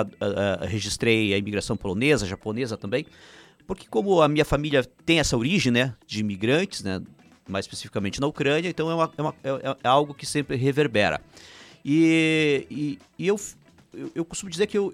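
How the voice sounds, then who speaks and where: A man's voice: medium pitch, animated, fast, a bit bright. Chatty man with Ukrainian roots. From Paraná, Brazil. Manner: rambling, frequent restarts and "né?" tags. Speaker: filmmaker guest. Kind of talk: casual interview chat.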